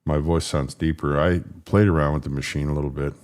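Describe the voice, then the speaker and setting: A man with a deep, rumbling voice hosting a casual livestream, rambling and frustrated as he fixes his stream settings. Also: steady tone, a little fast, darkish tone. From Canada. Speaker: political livestream host.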